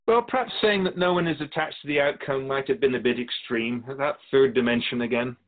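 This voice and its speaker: A man's voice: medium pitch, lightly acted. A man voicing a serene otherworldly keeper of souls. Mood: calm, wise. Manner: measured, serene, philosophical, gently lecturing. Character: otherworldly male soul-realm keeper, calm and wise. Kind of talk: radio drama acting. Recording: tinny phone-like sound.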